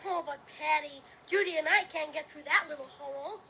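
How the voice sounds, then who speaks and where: A woman playing an eager, excitable young boy in a vintage radio play. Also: high-pitched, a little fast, dull audio, slight background noise. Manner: excited, exclamatory. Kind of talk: 1930s radio play acting.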